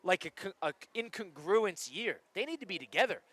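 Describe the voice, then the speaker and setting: High-voiced young sports radio host. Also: male voice. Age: 20s. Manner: animated, quick to laugh, rambling explanations. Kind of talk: casual sports talk. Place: radio studio.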